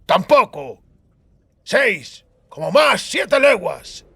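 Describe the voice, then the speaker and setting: A man's voice: high voice, big pitch swings, drawn-out words, vocal fry, bright, forceful tone, slightly rough, no echo, clean studio sound, pitched above the performer's own voice, full character voice. A man voicing an anxious, watchful tracker who speaks warily and precisely in an audiobook. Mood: doubtful. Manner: murmuring, wary.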